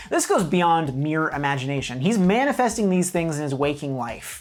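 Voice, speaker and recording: A woman narrating a scripted comedic video review in a wry, mock-serious, emphatic way. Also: low voice.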